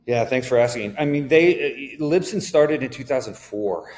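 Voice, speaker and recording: A man speaking as an executive guest in a conversational business interview, explaining things in a measured way. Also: mid-range voice, lively intonation, darkish tone.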